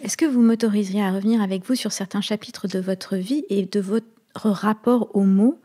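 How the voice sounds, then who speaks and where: A woman hosting a long interview, asking measured, detailed questions and laying out her guest's background before each question. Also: mid-range voice, fairly even intonation, warm tone. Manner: measured, attentive, lays out questions at length. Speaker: interviewer, host.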